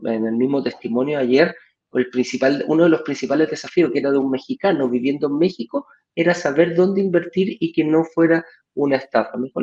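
A man's voice: medium pitch, a little mellow. A man with a Chilean accent speaking casually as co-host of a live show. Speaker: co-host and business partner. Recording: dull, lo-fi audio.

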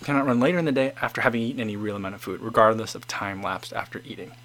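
A man's voice: fairly low pitch, racing, fairly bright tone. Chatty man in his forties. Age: 40s. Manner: rambling, chatty, trails off and restarts. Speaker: host. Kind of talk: casual chat reading viewer comments.

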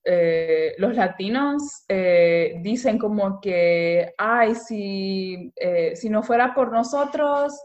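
A young woman with a Honduran Spanish accent, talking in a chatty, animated way and often checking that she is understood. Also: medium pitch, extremely slow, hardly any pauses, muffled recording. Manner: chatty, animated, frequent "me entendés" tags. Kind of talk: casual conversation on social issues.

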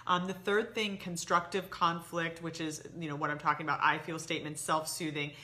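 A middle-aged woman with a lowish, bright and forceful voice gives relationship advice as a co-host. She talks conversationally, explaining each point and slipping into sample phrases to illustrate it. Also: little pitch movement, brisk.

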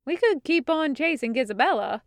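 A woman's voice: sits high, expressive, brisk, no echo, clean studio sound, voice pushed up. A woman voicing a cheeky, coaxing male companion in an audiobook story. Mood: hopeful. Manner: coaxing, sly. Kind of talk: audiobook character acting.

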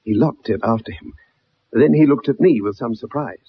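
A man's voice: mid-range voice, normal speed, slight background noise, close to an everyday voice. An actor playing an earnest, dogged young ex-soldier, telling his story in a formal, dramatic way in a radio drama. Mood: earnest recounting. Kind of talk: 1960s radio drama acting. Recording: muffled old radio broadcast.

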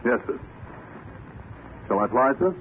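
An actor playing a dutiful, polite army lieutenant, crisp and earnest, in a 1940s radio drama. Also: male voice, mid-range voice, expressive, unhurried, natural voice. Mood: dutiful, respectful. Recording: tinny, hissy vintage radio recording.

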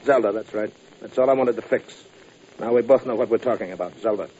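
An American actor playing a boastful, jealous gangster boss in a radio crime drama, terse and insistent, questioning in short bursts. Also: male voice, fairly low pitch, a little fast, dark tone, close to an everyday voice. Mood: cold, probing. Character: menacing male bootleg-era gangster boss, boastful, jealous husband. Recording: dull, noisy vintage radio broadcast.

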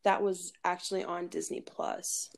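A woman's voice: lowish voice, measured pace, gravelly. Bubbly woman podcast co-host. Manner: bubbly, gushing, searches for words. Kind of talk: casual chat.

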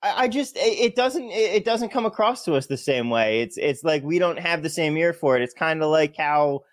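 A man's voice: fairly high pitch, animated, normal speed, no room sound. An American man hosting a betting podcast, upbeat and a bit stumbling. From United States. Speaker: podcast host. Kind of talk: podcast interview.

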